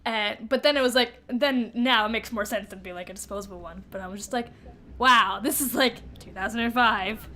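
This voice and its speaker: A woman with a distinctly high voice co-hosts a casual book podcast. She is giggly and informal, retelling scenes in slangy language and playfully putting on the dialogue as she goes. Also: fairly quick, sharp tone. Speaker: podcast co-host. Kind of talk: casual book discussion chat.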